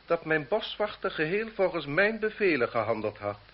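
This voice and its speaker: An actor playing a cruel, haughty French marquis in a radio drama, speaking in a pompous, formal, condescending way. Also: male voice, fairly high pitch, big pitch swings, moderate pace. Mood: haughty, self-satisfied. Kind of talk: Dutch radio drama acting. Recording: muffled old radio recording with background noise.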